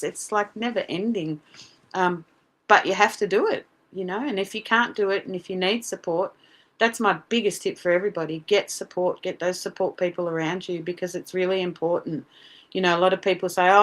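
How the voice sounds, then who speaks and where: Australian mum, low-ish and earnest. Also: female voice. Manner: earnest, animated, many fillers and restarts. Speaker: guest, parent advocate. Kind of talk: casual podcast interview.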